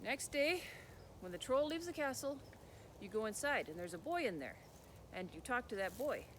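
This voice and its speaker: A storyteller voicing a clever wolf who calmly lays out a plan. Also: medium pitch, normal speed, a little hiss or hum. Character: clever scheming wolf helper.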